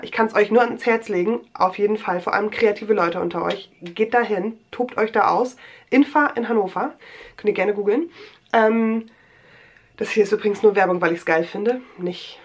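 A young woman with a cold, rambling chattily through a show-and-tell vlog in a small bedroom, full of fillers and restarts. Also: medium pitch. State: has a cold. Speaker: vlogger.

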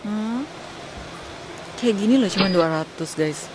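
An Indonesian-accented woman in her thirties talks to her handheld camera in a clothing mall. She is casual and playful, full of slang and little exclamations, and drops English words into her chat. Her voice sits at a middling pitch. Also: unhurried, poor audio. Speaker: vlogger. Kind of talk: casual shopping vlog chat. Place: indoor clothing shops in a busy mall.

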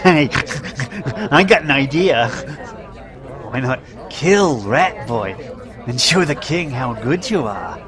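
A man's voice: medium pitch, lively intonation, crowd noise. An actor voicing a sly, taunting minion in a fantasy audio drama, teasing and drawing out his words. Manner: teasing, drawn-out.

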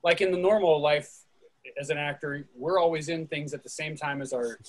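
A man with a fairly high, husky voice hosts a casual group discussion. He speaks over a video call, moderating the conversation. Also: normal speed. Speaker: host of an online readers theatre.

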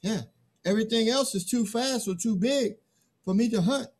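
A man's voice: high voice, moderate pace, darkish tone. A man acting a naive, timid young man in a theatrical play reading, hesitant and bewildered.

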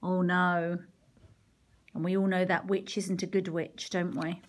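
A woman reading a picture book aloud to young children, expressive, with chatty exclamations and questions along the way. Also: on the low side, a little slow. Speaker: primary teacher reading a storybook to children. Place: indoors, picture book held over a wooden table.

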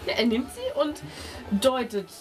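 Woman, game master, improvised descriptive narration, tabletop role-playing, clear background music. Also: high voice, sing-song, measured pace, recorded from a distance.

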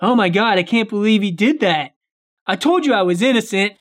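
A woman playing an excitable, good-natured young man wrongly jailed, in an improvised game roleplay. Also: medium pitch, brisk, natural voice. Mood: relieved, surprised.

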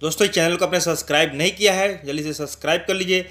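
A man's voice: on the high side, fairly even intonation, racing, bright, forceful tone. A man giving an informal promotional voice-over as a channel host, explaining things repetitively and addressing viewers directly.

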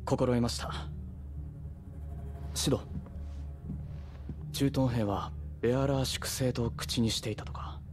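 A man's voice: mid-range voice, steady tone, takes it slowly, pauses often, over music, close to an everyday voice. A man playing a dutiful, deferential lieutenant reporting politely and formally in a Japanese video game drama. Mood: dutiful, cautious.

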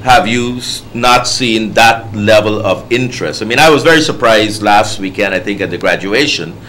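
Over faint background noise, a man with a fairly low voice hosts a panel talk show. He puts questions to his guests in a conversational style. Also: moderate pace, sharp tone, low-quality recording.

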